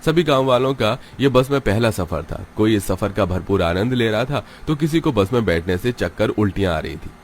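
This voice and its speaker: A man's voice: fairly low pitch, animated, normal speed, few pauses, a little hiss or hum. A man reading scripted story narration for a cartoon.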